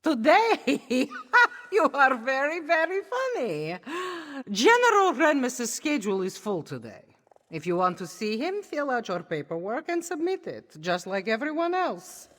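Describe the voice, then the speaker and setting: Female actor as jaded game official, sardonic, haughty, very animated intonation, long reverb. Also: high-pitched, a little slow, exaggerated voice. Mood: irritated, mocking. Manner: sardonic, dismissive.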